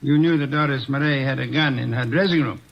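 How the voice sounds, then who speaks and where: An actor playing a shrewd, commanding detective in a 1940s radio drama, speaking in a measured, deliberate way. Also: male voice, medium pitch, vocal fry, close to an everyday voice. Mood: probing. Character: shrewd portly male detective, calm and commanding. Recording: muffled old radio broadcast.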